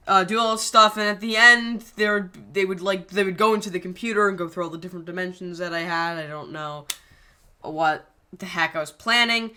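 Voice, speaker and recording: A channel creator casually explaining the plan for a scrapped series finale, rambling with frequent pauses and restarts. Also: medium pitch, bright, forceful tone, clear, resonant tone. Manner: hesitant, frequent ums and restarts.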